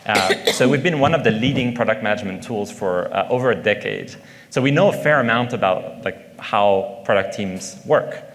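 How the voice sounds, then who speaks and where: A man's voice: fairly low pitch, moderate pace, fairly bright tone, long reverb tail. A man with a French accent giving a conference talk, hesitant with many fillers, asking the audience questions. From France. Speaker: conference speaker, product manager.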